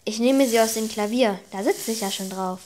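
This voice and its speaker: A young female performer playing a resourceful musician girl in an amateur radio play, thinking aloud haltingly. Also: fairly high pitch, a little mellow, slight background noise, close to an everyday voice. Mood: pondering.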